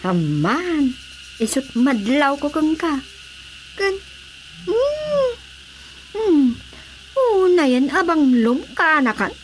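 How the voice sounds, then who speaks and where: A woman's voice: high voice, sing-song, takes it slowly, long pauses, slight background noise, full character voice, poor audio. A Filipino woman playing a nosy, teasing, gossipy auntie in a radio drama comedy.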